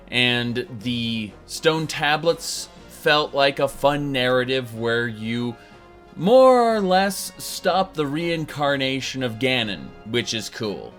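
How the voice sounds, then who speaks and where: A man talking through a retro game review in a chatty, enthusiastic way. His voice sits in an ordinary middle range. Faint music runs softly under his talk. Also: medium pitch. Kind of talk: casual video review.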